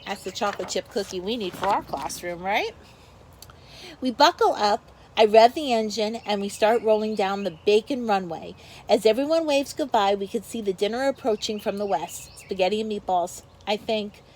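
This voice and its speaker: A woman's voice: mid-range voice, animated, normal speed, a little mellow, a little hiss or hum. A woman teacher reads a picture book aloud to young children, expressively, with explanatory asides to her pupils.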